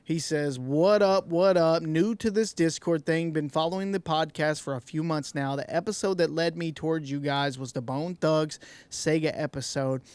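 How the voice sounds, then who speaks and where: A man co-hosting a retro podcast, reading fan mail in a relaxed, slangy, joking way. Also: on the high side, normal speed, hardly any pauses, clear, resonant tone, no room sound. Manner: laid-back, slangy, laughs easily. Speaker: podcast co-host.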